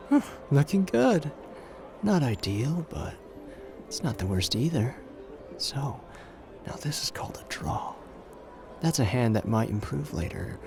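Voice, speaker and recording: A man's voice: medium pitch, vocal fry. A man playing a suave, flirtatious, confident gambler in an ASMR roleplay audio drama, teasing and intimate in the way he talks. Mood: coaxing, intimate. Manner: teasing, intimate, drawn-out phrasing with pet names.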